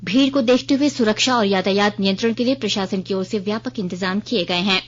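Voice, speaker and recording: A woman's voice: medium pitch, a little fast. A woman reads a formal scripted regional news bulletin on the radio as the newsreader. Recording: dull lo-fi radio broadcast.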